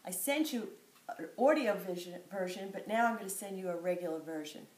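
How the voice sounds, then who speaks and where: An older woman, a grandmother, reading a picture book aloud to her grandchild with playful, expressive asides. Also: medium pitch, a little fast, poor audio. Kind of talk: storybook read-aloud.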